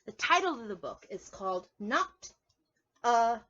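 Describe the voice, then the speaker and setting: A woman preschool teacher reading a storybook aloud to young children in an animated, instructive way, often putting questions to her listeners. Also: fairly high pitch, a little slow, muffled recording.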